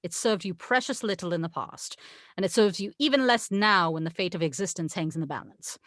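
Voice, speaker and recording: A woman's voice: on the low side, brisk, a bit bright, dry recording, studio quality, natural voice. A woman reading the part of a haughty, sarcastic angel in a script table read, with a wry, exasperated, condescending manner. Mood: grave, insistent. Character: haughty, sarcastic tequila-loving angel, the voice of God.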